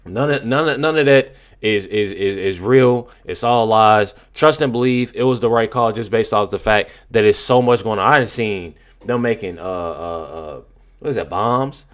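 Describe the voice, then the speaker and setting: An American man doing casual political commentary as a video host, in an emphatic, slangy, halting way with many repeats. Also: fairly low pitch.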